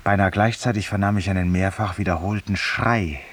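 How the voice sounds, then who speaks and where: A male actor playing a weary, ironic, haunted man who confesses to a stranger in a deliberate, courteous, literary monologue, in the style of 1950s German radio drama. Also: low-pitched, steady tone. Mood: haunted, reflective. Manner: literary, deliberate, ceremoniously polite, confiding. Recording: hissy 1950s radio recording, distant voice.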